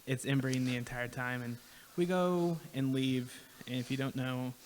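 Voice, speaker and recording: A man's voice: mid-range voice, normal speed, low-quality recording. Young male co-host, easygoing storyteller, slight hiss on the recording. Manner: relaxed, tells story with lots of "right?". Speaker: podcast co-host. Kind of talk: casual storytelling.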